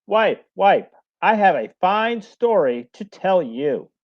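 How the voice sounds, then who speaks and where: Impulsive poor woodcutter acted by a man, storybook, slow delivery, animated melody, plain-spoken. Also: high voice, full character voice. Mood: excited. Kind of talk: storybook read-aloud. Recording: dull lo-fi recording.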